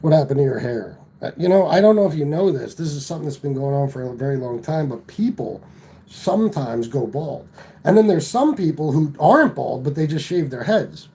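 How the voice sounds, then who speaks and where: A man's voice: fairly high pitch, expressive, fairly quick, warm tone. A man hosting a live stream and answering chat comments in a combative, profane, sarcastic way, from home. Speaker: livestream host reading chat.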